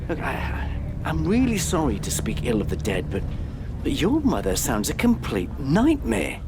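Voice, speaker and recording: A man's voice: on the high side, huge pitch swings, measured pace, background noise, full character voice. A man playing a polite, awkward fellow traveller in an audio drama, hesitant and stammering. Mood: awkward sympathy.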